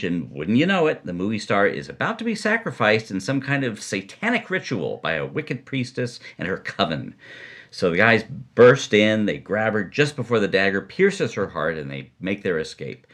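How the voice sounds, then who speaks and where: A man co-hosts a storytelling podcast in a fairly low voice. His intonation is very lively as he spins the tale conversationally. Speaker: podcast co-host.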